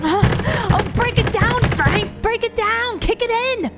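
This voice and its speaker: A woman's voice: extremely high pitch, unhurried, loud music behind the voice. A woman playing a bossy, nagging wife in an audio drama, with a dramatic delivery. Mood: alarmed, urging.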